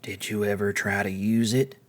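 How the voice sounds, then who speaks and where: A man voicing a dying old lizard-man, sly and proud, with a husky voice and a theatrical delivery in a dramatized fantasy story. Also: fairly low pitch, fairly even intonation, normal speed, close to an everyday voice. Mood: weary.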